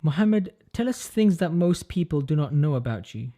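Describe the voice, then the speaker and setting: A man's voice: on the high side, lively intonation, moderate pace, warm tone. A man interviewing a guest, reading a formal introduction and asking composed questions.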